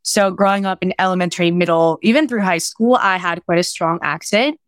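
A woman's voice: lowish voice, brisk, no echo, studio quality. A young woman from Colombia, a student intern, speaking openly about her own experience. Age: young adult.

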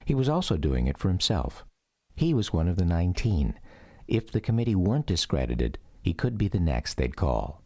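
A man's voice: sits low, sing-song, moderate pace, a little mellow, dry recording, studio quality. A middle-aged man with an American accent narrating a scripted documentary drama. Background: music.

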